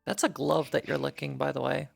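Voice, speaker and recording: A woman's voice: deep voice, fairly even intonation, a little fast, vocal fry. A woman in casual improvised roleplay as a party member, speaking in a matter-of-fact way. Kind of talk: casual improvised roleplay chat in virtual reality. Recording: background music behind the voice.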